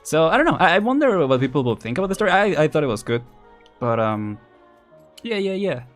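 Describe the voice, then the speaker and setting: A man's voice: fairly high pitch, very animated, fairly quick, faint music behind. A man chatting casually as a livestream host, rambling and hesitant, often repeating words and restarting as he thinks aloud. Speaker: streamer, vtuber host.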